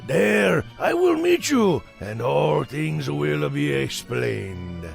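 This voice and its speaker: A man voicing a high-pitched, theatrical doting cartoon father. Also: takes it slowly, vocal fry, music in the background, exaggerated voice. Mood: tender, solemn. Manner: grand, expressive, gently reassuring. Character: doting, theatrical father guiding his child. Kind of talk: animated film voice acting.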